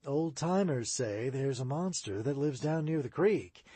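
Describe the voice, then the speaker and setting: A man doing a grown townsman in a storybook, talking low and confiding as he spins a spooky local tale. The voice has a slightly husky edge and swings up and down as the story builds. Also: lively intonation, a little slow, warm tone, no room sound.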